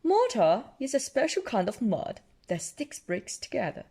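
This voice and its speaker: A woman storyteller reading children's picture books aloud in an expressive, deliberate way, adding her own small asides. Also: a little high, slightly rough. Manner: expressive, deliberate delivery for toddlers. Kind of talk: children's read-aloud narration with ad-libbed asides.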